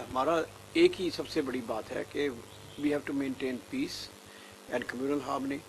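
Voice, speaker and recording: Politician guy, really rapid talk, gravelly creaky voice, noisy background. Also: medium pitch. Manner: code-switches between Hindi and English. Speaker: political party leader. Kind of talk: spoken press statement.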